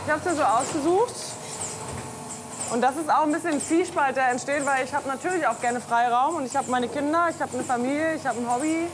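A woman farmer in her thirties talks candidly in an interview, her voice notably high. The recording is noisy, with farm sounds and animals clearly behind her. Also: brisk. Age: 30s.

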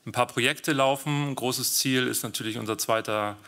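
A man's voice: medium pitch, moderate pace, sharp tone. A man speaking off the cuff as an amateur club representative at a press conference.

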